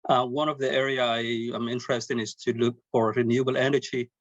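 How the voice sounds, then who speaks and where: A man asks an earnest question, halting and restarting often. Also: mid-range voice, level tone, no echo. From Somalia. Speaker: audience member asking a question. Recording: dull lo-fi video call audio.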